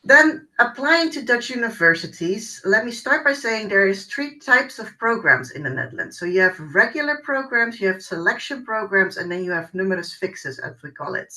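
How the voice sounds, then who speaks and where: A woman's voice: medium pitch, expressive, normal speed, hardly any pauses, pushed and bright, rough recording. A woman working as a university recruiter, giving an informal presentation in an online webinar. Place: online video call.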